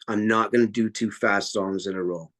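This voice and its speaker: A man's voice: lowish voice, fairly even intonation, moderate pace, a bit bright. A man, a metal vocalist, talking bluntly and at length in a casual podcast interview, swearing freely. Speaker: metal vocalist and guitarist guest.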